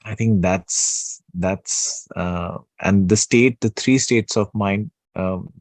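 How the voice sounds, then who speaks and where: A man giving an unscripted spiritual talk, slow and soothing, pausing often with fillers and restarts. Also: sits low, a little mellow, no room sound. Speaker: spiritual teacher.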